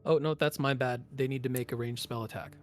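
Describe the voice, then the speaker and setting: A man running a tabletop game as game master, narrating the action casually and thinking aloud in halting phrases. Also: medium pitch, fairly even intonation.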